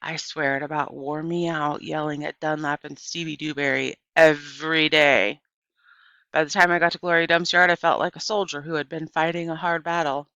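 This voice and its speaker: A woman narrates a story aloud in a low, bright, forward voice. She reads in an animated storytelling manner, as for an audiobook. The recording is dry and a little dull. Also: moderate pace, dry recording. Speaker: audiobook reader.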